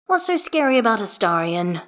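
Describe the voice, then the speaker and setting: A woman's voice: mid-range voice, moderate pace, voice pushed up. Woman as a dry, long-suffering dad. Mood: wry. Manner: wry, exasperated, flatly sarcastic. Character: dry, long-suffering father, a grown man.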